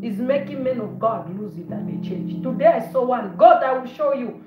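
A woman's voice: fairly high pitch, animated, normal speed, over music. A woman in her forties preaching a fiery sermon in her living room, repeating words and moving about, recorded on a phone a short way off. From Zambia. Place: living room of a house, indoors. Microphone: phone camera on a stand at a short distance. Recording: roomy, dull lo-fi phone audio.

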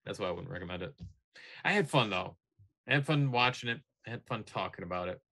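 A man's voice: on the low side, expressive, fast, creaky, bright, forceful tone. A man in his thirties chatting casually as co-host of a film podcast. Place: indoors, small room.

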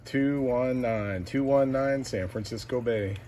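A man working as a CB radio operator, calling out short call signs and repeating numbers deliberately. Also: medium pitch. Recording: noisy radio shack, distant mic, clicks.

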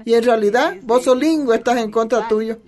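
A woman's voice: fairly high pitch, moderate pace, a little mellow, lightly acted. A woman playing a feisty, scolding elderly grandmother in a radio soap, with a Bolivian accent.

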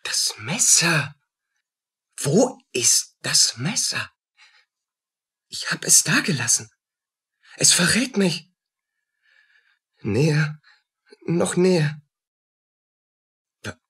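A husky, tormented soldier, wildly swinging pitch. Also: male voice, sing-song, extremely slow, long pauses, bright, forceful tone, exaggerated voice. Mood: haunted. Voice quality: husky, rough. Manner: agitated, fragmented, muttering and crying out. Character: tormented, feverish soldier, a murderer unraveling in guilt. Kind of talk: radio play acting.

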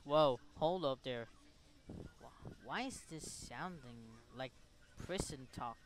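A man hosting a gaming video, reading game text aloud and commenting in short, halting reactions. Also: a little high, lively intonation.

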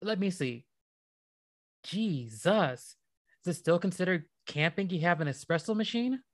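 A man's voice: high-pitched, expressive, measured pace, dry recording, clean studio sound. A man playing a cheerful, doting young husband in a radio drama, casual and upbeat. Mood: amused. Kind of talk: naturalistic radio drama dialogue.

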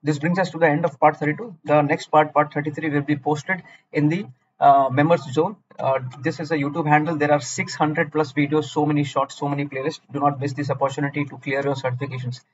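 Casting: Indian man, rapid blunt tutor voice, slightly higher pitch, dull lo-fi voice-over. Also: level tone, a little hiss or hum. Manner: blunt, repetitive, scolding lecturing. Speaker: cloud certification tutor. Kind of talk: screen-recorded exam walkthrough.

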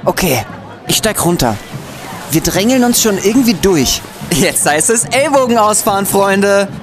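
Man as an animated, grumbling young student sleuth. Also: high-pitched, unhurried, music in the background, a crowd in the background. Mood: eager. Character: easily amazed, grumbling young student amateur detective. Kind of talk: scripted radio play acting.

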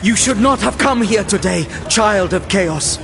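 A proud elf prince speaks slowly and solemnly, with formal command. His voice rings in a long cavern reverb over music in a fantasy audio drama. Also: sits high, expressive, gravelly, heavily acted. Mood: menacing.

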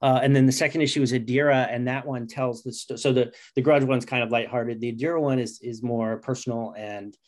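A man's voice: mid-range voice, steady tone, fairly quick, hardly any pauses, a little mellow. A man around his forties chatting casually and enthusiastically as an interview guest on a video call. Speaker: comic writer and interview guest. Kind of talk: casual chat.

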